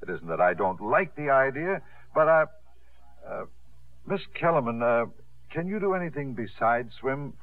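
An actor playing a pragmatic, courteous theater manager in a 1950s radio drama, with a measured, businesslike manner. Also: male voice, mid-range voice, sing-song, no echo. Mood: courteous, doubtful. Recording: muffled narrow-band old radio, music behind.

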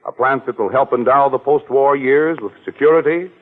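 A man's voice: mid-range voice, normal speed. A man announcing in a formal, declamatory 1940s radio style, reading a scripted message. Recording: muffled, narrow-band 1940s radio recording.

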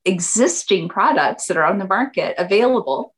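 A woman in her fifties, a guest chatting casually in an interview, animated and acting out what her friends said. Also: moderate pace, low-quality recording. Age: 50s. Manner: animated, acts out quoted friends in a higher voice. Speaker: guest entrepreneur.

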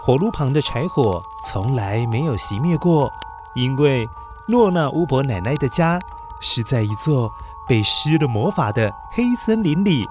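抑扬顿挫的男性故事讲述者. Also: male voice, medium pitch, expressive, unhurried. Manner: gentle, coaxing, exclamatory storyteller. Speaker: storyteller. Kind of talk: children's story narration. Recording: background music under narration.

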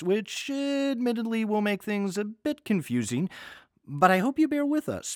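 A man's voice: on the high side, dry recording, studio quality. An American man introducing an audio story series as host, in a wry, conversational scripted style.

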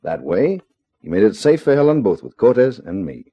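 An actor playing a menacing, sardonic nightclub gangster boss in a 1950s radio crime drama. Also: male voice, fairly low pitch, sing-song, moderate pace, breathy edge, lo-fi sound, exaggerated voice. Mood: sardonic, gloating.

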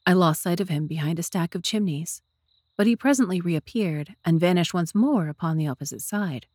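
A woman narrates a scripted story for an audiobook. Her voice sits a little low for a woman, and the sound is dry with no room echo. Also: normal speed, darkish tone.

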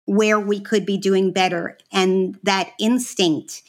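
A woman from Israel, a podcast guest telling her story in a relaxed, chatty way with frequent laughs. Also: mid-range voice, takes it slowly. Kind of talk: casual interview chat.

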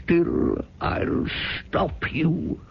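An actor playing a bitter, defiant dying old father in a radio drama, with a raspy, weak, halting voice. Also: a little high, huge pitch swings, slight background noise, full character voice. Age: older. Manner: halting, labored, threatening. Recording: dull lo-fi 1970s radio broadcast.

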